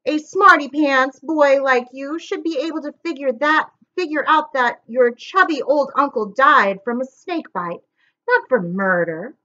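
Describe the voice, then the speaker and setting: A woman's voice: high-pitched, pushed and bright, lo-fi sound. A woman reading aloud and voicing a scheming, sneering adult male villain with a mocking, menacing manner. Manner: mocking, menacing, drawn-out words.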